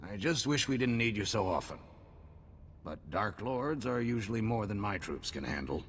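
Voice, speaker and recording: An actor playing a blunt male supreme commander in a video game, brisk and authoritative. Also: fairly low pitch, animated, slight background noise, close to an everyday voice. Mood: commanding. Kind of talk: game voice acting.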